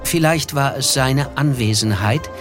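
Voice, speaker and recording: An actor playing a reflective male narrator in a radio play, measured and literary in manner. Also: mid-range voice, music in the background, natural voice. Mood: reflective, wry. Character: reflective male first-person narrator, a writer.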